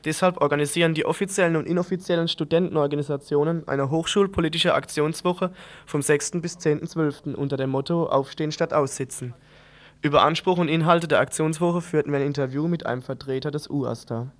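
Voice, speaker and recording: A man's voice: on the high side, fairly even intonation, a little fast. A man presenting scripted radio news commentary with a wry, ironic manner.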